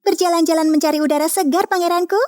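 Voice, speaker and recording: A woman's voice: very high voice, steady tone, quick pace, darkish tone, voice pushed up. A woman voicing a smug, vain young stepsister in a children's cartoon. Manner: smug, coy. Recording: long reverb with music behind.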